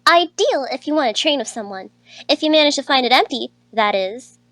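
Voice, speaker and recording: A woman's voice: high-pitched, animated, fairly quick, a bit bright, dry recording. A woman voicing a confident, playful young female student in a visual novel. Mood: helpful, upbeat. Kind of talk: character dialogue in a visual novel reading.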